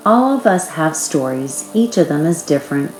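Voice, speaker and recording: A woman reading a personal written story aloud in a measured narrating style. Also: sits low, very animated, darkish tone. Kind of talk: reading a first-person author's note aloud. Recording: roomy echo, faint music behind.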